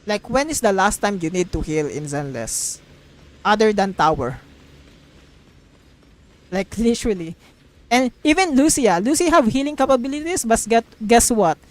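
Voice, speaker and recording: A woman's voice: on the high side, darkish tone, no echo. A woman live streamer giving casual, rambling gaming commentary, emphatic and opinionated, repeating phrases to drive points home.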